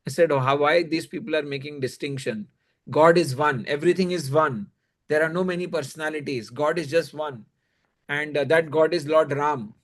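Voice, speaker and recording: A man's voice: a little high, moderate pace. A man with an Indian accent teaching religion in a conversational, explanatory way, often adding "you know" as he goes.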